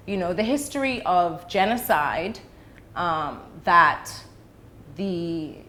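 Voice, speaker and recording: A middle-aged woman from the Bahamas and the UK, an educator giving explanations as an interview guest, choosing her words deliberately with pauses. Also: fairly low pitch, lively intonation, sharp tone.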